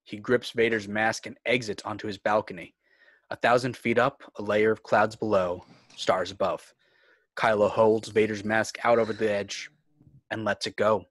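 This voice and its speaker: A man narrating stage directions for a scripted fan table read in a measured, clipped way. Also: on the low side, level tone, fairly bright tone, dry recording.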